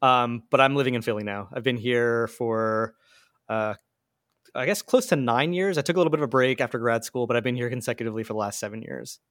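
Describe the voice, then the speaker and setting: Fast-talking man from New Jersey. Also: mid-range voice, fairly bright tone, dry recording, studio quality. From southern New Jersey. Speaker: guest. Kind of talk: casual podcast interview.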